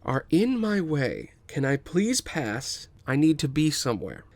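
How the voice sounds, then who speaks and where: A man's voice: a little high, big pitch swings, measured pace, no echo. A man playing a guarded, composed androgynous waterbender of twenty-one in a scripted table read. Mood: restrained.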